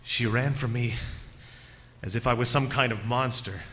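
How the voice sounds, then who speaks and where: An actor playing a haunted, desperate driver in old-time radio drama, dramatic and fearful. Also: male voice, mid-range voice, normal speed, bright, forceful tone, slight background noise, natural voice. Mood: bleak dread. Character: haunted, desperate male driver telling his eerie story. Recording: long hall reverb, music behind.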